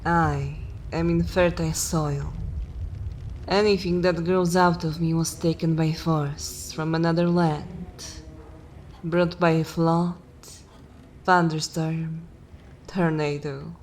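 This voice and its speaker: A haughty, ancient vampire mistress, played by a woman, speaks in a lowish female voice at a very slow, deliberate pace. She is cruel and commanding, with formal, scornful phrasing suited to dark fantasy ASMR. A faint bed of ambient noise sits behind her. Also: little pitch movement. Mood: stern, lofty. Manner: imperious, slow, disdainful, formal wording.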